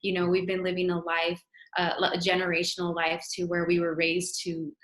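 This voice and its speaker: A woman speaking as a guest on an online panel, soft-spoken and gracious, with frequent small pauses. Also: fairly low pitch, completely flat delivery, reverberant.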